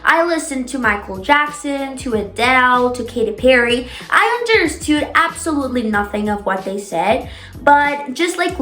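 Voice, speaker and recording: A young woman whose native language is Spanish, talking casually as a vlogger giving tips, stressing points with drawn-out words and repetition. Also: on the high side, fairly bright tone. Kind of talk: casual talk to camera. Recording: echoey room.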